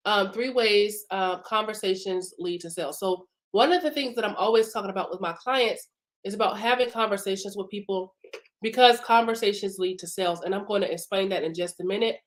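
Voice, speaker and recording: A woman business coach hosting a live broadcast, chatting informally with many fillers while congested from a cough and sore throat. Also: mid-range voice. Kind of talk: casual live-stream teaching.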